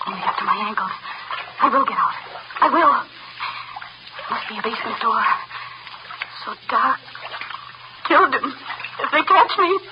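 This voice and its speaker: High-voiced, panicked woman on old radio. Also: big pitch swings, moderate pace, long pauses. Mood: fearful, muttering. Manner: breathless, clipped fragments, fretful muttering. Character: panicked, guilt-ridden woman killer, demanding and imperious. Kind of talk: 1930s radio horror acting. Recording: muffled, noisy 1930s radio recording.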